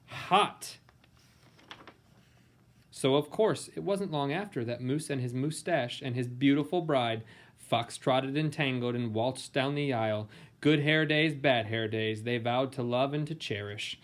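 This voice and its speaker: A man reading a children's picture book aloud in a playful, theatrical way with dramatic emphasis and pauses. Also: medium pitch, fairly bright tone. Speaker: storybook reader.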